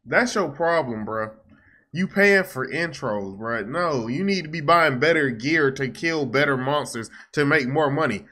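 Bright-voiced young man, slangy reaction commentary. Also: on the high side, measured pace. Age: young adult. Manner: animated, slangy, teasing. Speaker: reaction video host. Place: small home room. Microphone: close desk microphone.

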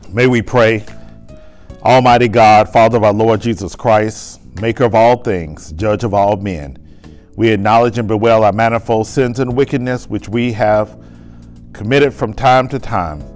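Male pastor, middle-pitched, fervent sermon delivery and solemn liturgy, lapel mic in a church, soft music under. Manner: fervent, emphatic preaching and solemn prayer. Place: church sanctuary, indoors.

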